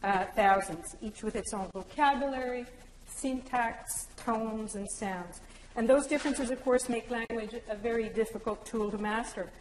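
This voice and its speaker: A woman's voice: fairly high pitch, normal speed, slight background noise. A woman from Newfoundland giving a conference presentation, explaining ideas and slipping in anecdotes. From Newfoundland, Canada. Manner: explanatory, joking aside with anecdotes. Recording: echoey hall.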